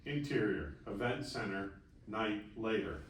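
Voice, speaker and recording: Low-voiced man reading stage directions, roomy sound. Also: animated, a little slow. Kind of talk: scripted narration at a screenplay table read. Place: festival table read in a room. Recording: echoey room, distant mic, faint noise.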